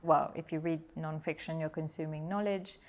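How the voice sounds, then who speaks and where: A woman interviewer asking thoughtful questions, often affirming and laughing softly with her guest. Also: low voice, steady tone, normal speed. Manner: encouraging, frequent affirmations and soft laughs. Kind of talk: conversational interview.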